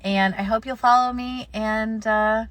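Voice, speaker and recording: A woman's voice: on the high side, steady tone, a little slow, a bit bright, dry recording. A woman florist with a slight cold answering viewer questions in a live stream, offering practical advice in a conversational way with plenty of fillers.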